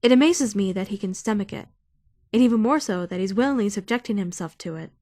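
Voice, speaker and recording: A woman's voice: mid-range voice, lively intonation, fast, a little mellow, dry recording, studio quality. A woman playing a prim, bookish young student who is easily indignant, in a fan-dubbed visual novel with formal, theatrical delivery. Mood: disgusted. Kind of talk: first-person visual novel fan dub narration and dialogue. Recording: music behind the voice.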